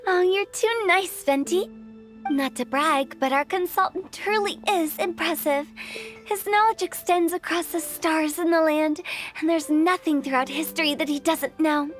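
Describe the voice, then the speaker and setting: A woman's voice: extremely high pitch, measured pace. A woman voicing a bubbly, hospitable, mischievous young female business boss in a video game, chatty and teasing. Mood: proud, teasing. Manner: bright, chatty, gracious teasing. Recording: music behind the voice.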